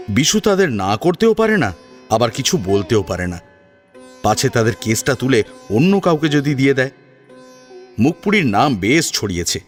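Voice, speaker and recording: Expressive man narrating, very lively pitch. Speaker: story narrator. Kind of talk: dramatic audiobook story narration. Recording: sitar music behind the voice. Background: plucked sitar music.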